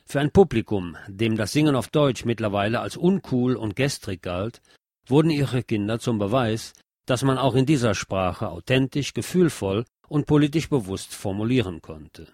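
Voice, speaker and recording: A man reading a scripted documentary narration in a measured, factual way. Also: mid-range voice, lively intonation, a little mellow, no room sound, studio quality.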